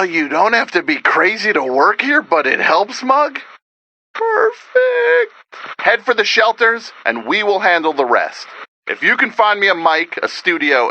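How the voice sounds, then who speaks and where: An excitable male radio DJ broadcasting to survivors in an apocalypse game. His pitch sits high and swings widely as he talks, earnest and wry at once. The sound is slightly dull and lo-fi. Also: heavily acted. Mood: giddy, wry, then upbeat, rallying. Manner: dramatic, urgent, wry, conversational. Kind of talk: video game voice acting, radio broadcast monologue.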